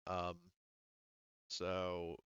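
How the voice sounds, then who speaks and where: Smooth, low-voiced man running a game. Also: completely flat delivery, fairly bright tone, no room sound, dull audio. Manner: halting, talks through rules while thinking aloud. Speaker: game master. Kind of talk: casual tabletop game running.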